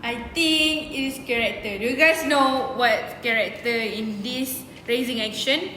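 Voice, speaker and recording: High-voiced young woman, slow. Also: sharp tone, a little hiss or hum. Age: young adult. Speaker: student presenter. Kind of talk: informal group presentation discussion. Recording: echoey hall with faint crowd chatter.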